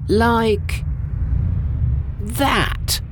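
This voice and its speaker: A woman's voice: on the high side, very slow, noisy background, voice pushed up. Wang Un, a woman voicing a cheeky beggar boy.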